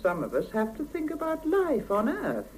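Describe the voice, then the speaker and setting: An actress in a 1970s radio drama playing a brisk, earnest seventy-year-old Soviet woman who mothers and admonishes her juniors, on an old cassette recording. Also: sits high, wildly swooping pitch, a little mellow, slight background noise. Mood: earnest, reassuring.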